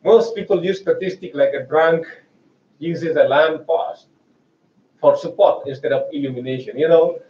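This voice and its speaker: Indonesian-accented male lecturer, 40s, slightly raised pitch, distant mic in a reverberant room. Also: moderate pace, a little mellow, dull audio. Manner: chatty, hesitant restarts, frequent laughs. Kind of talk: research seminar lecture.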